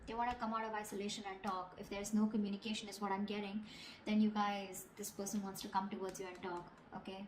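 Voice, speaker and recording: A woman with a mid-range voice reads tarot directly to her listener. She talks conversationally and keeps punctuating her points with short check-in questions. The recording is roomy and echoey, with clear noise behind her. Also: mid-range voice, little pitch movement, fast. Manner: conversational, repeats tag questions like okay and you know. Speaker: tarot reader. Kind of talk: intuitive tarot reading addressed to the viewer. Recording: echoey room, clear background noise, clicks.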